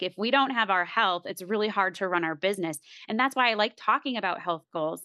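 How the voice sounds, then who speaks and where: A woman's voice: mid-range voice, bright, forceful tone, dry recording. Upbeat woman podcast host, dry mic. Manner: upbeat, motivational, chatty with fillers. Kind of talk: podcast intro and interview.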